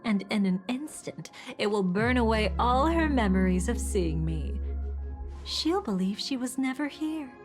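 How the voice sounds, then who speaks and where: A voice actress playing a sly, seductive, villainous femme fatale in a video game, taunting in a drawn-out, theatrical way. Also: female voice, fairly high pitch, expressive, over music. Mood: sly, menacing.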